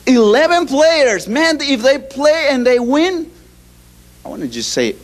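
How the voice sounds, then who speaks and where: A man in his thirties from Ecuador speaking halting English with a Spanish accent, addressing an audience on a handheld mic in a hall. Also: very high-pitched, big pitch swings. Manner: halting non-native English, many restarts, gesturing. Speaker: ministry speaker. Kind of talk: animated talk to an audience. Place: hall indoors.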